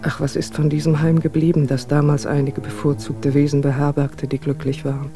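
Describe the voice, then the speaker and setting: An actor playing a memoirist who mournfully recalls his family circle in a measured, formal radio drama monologue. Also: on the high side, completely flat delivery, dark tone, faint music behind, close to an everyday voice. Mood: elegiac.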